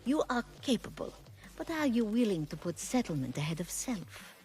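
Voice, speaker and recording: An actress playing a poised, businesslike settlement official in a fantasy video game, speaking formally and deliberately. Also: female voice, mid-range voice, very animated, darkish tone, soft background music, lightly acted. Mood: composed, challenging.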